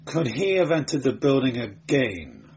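A man voicing a keen, cool chief investigator in an audiobook, questioning with brisk authority. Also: medium pitch, big pitch swings, gravelly, a little mellow, voice pushed up. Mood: commanding. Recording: dull, noisy amateur recording.

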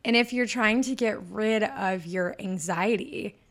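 A woman with a Michigan accent hosts her own podcast in a chatty, bubbly way. Her voice sits at a medium pitch, and her delivery stays upbeat and personal. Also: a little slow. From Michigan, USA. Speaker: podcast host. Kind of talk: solo podcast monologue.

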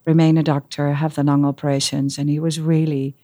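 A middle-aged Dutch woman with a Dutch accent, speaking English as a second language as a lively podcast guest in an office, sometimes searching for words. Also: low voice, a little fast. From Netherlands. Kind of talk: casual interview conversation.

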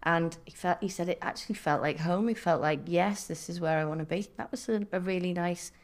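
Woman co-host, lowish voice, warm and chatty. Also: fairly quick. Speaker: podcast co-host. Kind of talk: interviewing.

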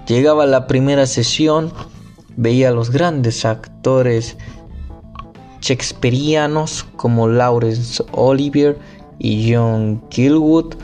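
Man, reading nonfiction aloud, unhurried, mid-range voice, occasional restarts. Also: mid-range voice, a little mellow, faint music behind. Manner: slow, careful reading with occasional slips and restarts. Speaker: amateur reader.

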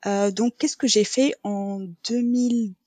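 A woman's voice: a little high, a little slow, warm tone, dry recording. Hesitant non-native woman, dry sound. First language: neither French nor English, trilingual. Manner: hesitant, many filler sounds. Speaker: podcast guest, quality coach. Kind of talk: casual interview talk.

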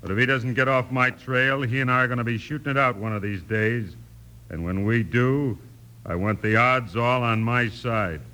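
An actor playing a menacing, hot-tempered outlaw in an old radio western, threatening in every line. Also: male voice, medium pitch, normal speed, lightly acted, low-quality recording. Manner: threatening, snarling. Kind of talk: 1950s radio western acting.

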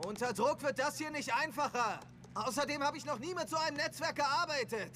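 A man's voice: very high-pitched, brisk, background noise. An actor in a dubbed TV series playing a panicky male hacker, frantic, whiny and defensive. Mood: harried, defensive. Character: panicky, pleading male hacker in over his head.

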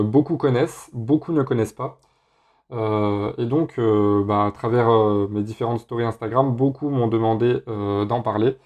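A young man from France hosting a casual solo podcast, talking in a relaxed way with lots of hesitations. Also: on the low side. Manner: relaxed, many filler hesitations. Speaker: podcast host.

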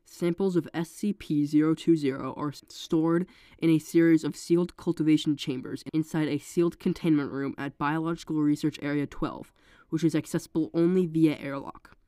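A congested narrator with a cold reads a clinical horror file in a steady, measured voice. The tone is dark and mellow, and the sound is close and dry, with no room around it. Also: mid-range voice, clear, resonant tone, dry recording.

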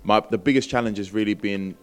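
A man's voice: fairly low pitch, fast talker, clean tone. A man from Britain, bilingual in Greek and English, talking casually and reflectively as a musician being interviewed, with plenty of slang.

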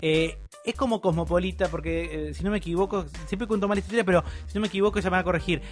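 A man's voice: high voice, fairly even intonation, rapid-fire, faint music behind. A man in his thirties with a Rioplatense Argentine accent, hosting a casual, chatty interview in an informal, digressive way. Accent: Rioplatense Argentine Spanish. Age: 30s. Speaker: podcast host.

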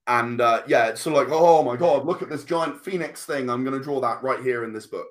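A man's voice: medium pitch, a little fast. Man explaining things with enthusiasm. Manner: explanatory, talks in long enthusiastic runs. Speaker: tabletop game designer and guest. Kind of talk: casual interview chat.

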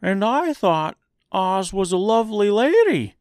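High-pitched, plaintive scarecrow. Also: male voice, very animated, a little slow, darkish tone, no echo, studio quality. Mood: puzzled. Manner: wailing, grieved. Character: Scarecrow, plaintive living straw man.

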